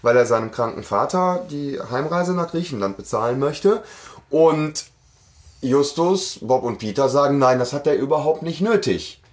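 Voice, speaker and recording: A man talks as a podcast host in a loose, conversational style. He has a mid-pitched voice and argues his opinions frankly, like a fan picking apart a story. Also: animated, moderate pace. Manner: opinionated, mimics quoted lines. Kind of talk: casual fan discussion of an audio drama.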